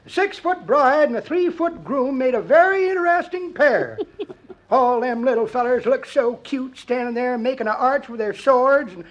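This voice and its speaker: A man's voice: extremely high pitch, expressive, moderate pace, dull audio. An actor playing a folksy, jokey old man who tells tall tales in rustic speech, in 1950s radio comedy style. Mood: chuckling, storytelling. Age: older.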